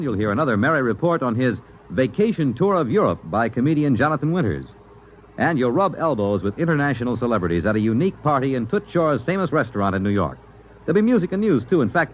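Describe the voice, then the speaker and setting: A man's voice: medium pitch, slight background noise. An American man announcing and narrating old-time 1950s radio in a theatrical, emphatic scripted style. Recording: muffled vintage radio recording, music behind.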